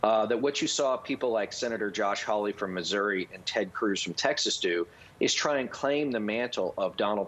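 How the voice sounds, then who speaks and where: A man giving earnest political analysis in a live news interview, measured and thoughtful, with frequent fillers and restarts. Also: on the low side, a bit bright, husky, dull audio. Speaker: political commentator.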